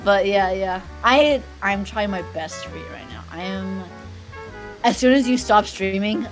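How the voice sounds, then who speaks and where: Soft-spoken young woman streamer, candid. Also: medium pitch, measured pace, faint music behind, rough recording. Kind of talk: casual chat on a live stream.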